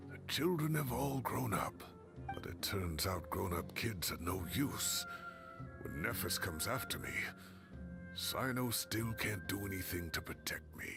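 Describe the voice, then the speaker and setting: Gravelly, lively old man. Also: lowish voice, unhurried, music in the background. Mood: wistful lament. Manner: playful, theatrical lamenting. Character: genial old man, fond, teasing grandfatherly guardian. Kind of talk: video game voice acting.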